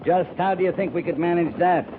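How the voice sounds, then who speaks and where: An actor playing a dry, sardonic, weary but humane cavalry captain in a 1950s radio western, with a clipped, commanding delivery. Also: male voice, high voice, fairly quick, lightly acted. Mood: stern, sardonic. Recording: noisy 1950s radio recording, narrow band.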